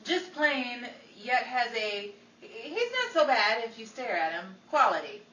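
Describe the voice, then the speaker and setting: Woman acting a bright, sardonic starlet, echoey radio. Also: a little high, very animated, measured pace, full character voice. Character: sardonic, exasperated Hollywood starlet, young woman. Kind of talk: 1940s old-time radio comedy acting. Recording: echoey, distant mic with faint crowd and noise.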